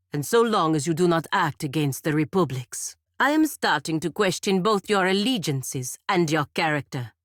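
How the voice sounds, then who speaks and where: A woman's voice: fairly low pitch, no echo, clean studio sound, natural voice. A woman playing a proud, stern godlike warrior in a video game, speaking formally and bluntly with haughty disdain. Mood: stern warning. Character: proud, stern godlike female warrior serving her republic. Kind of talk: scripted video game character dialogue.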